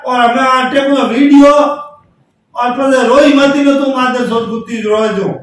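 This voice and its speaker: A man's voice: very high-pitched, fairly even intonation, measured pace. A man with a Rajasthani accent in a heated argument on a phone call, speaking in an abusive, threatening, confrontational way. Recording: echoey, distant phone call recording.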